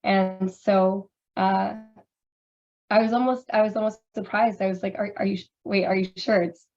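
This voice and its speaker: A hoarse woman who has lost her voice, talking candidly in a rambling way full of fillers. Her pitch stays flat and even. The audio is muffled and lo-fi, as on a video call. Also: moderate pace. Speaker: panelist, drag performer and researcher. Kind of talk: casual panel discussion.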